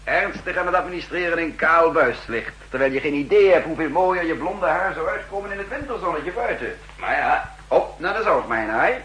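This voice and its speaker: An actor playing a breezy, teasing middle-aged intelligence boss in a 1960s radio drama, bantering with hesitations and tag questions. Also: male voice, a little high, lively intonation, fairly quick, few pauses, sharp tone, slight background noise, rough recording. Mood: jaunty, flirtatious.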